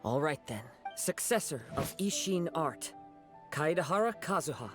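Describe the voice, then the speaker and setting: A man voicing a gentle, courteous young wandering samurai in an anime game, composed and formal. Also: on the high side, animated, normal speed, over music, close to an everyday voice.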